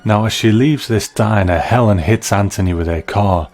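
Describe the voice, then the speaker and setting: A man's voice: lowish voice, moderate pace, a little mellow, faint music behind. A man narrating a scripted video essay as a reviewer of film and television.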